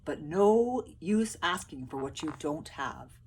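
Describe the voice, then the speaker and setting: A woman reading the part of crafty, coaxing soldiers in a storybook, with drawn-out, emphatic phrasing. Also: low voice, lively intonation, darkish tone, slight background noise, exaggerated voice, rough recording. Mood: wistful, coaxing. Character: crafty male soldiers coaxing villagers, wistful and grand.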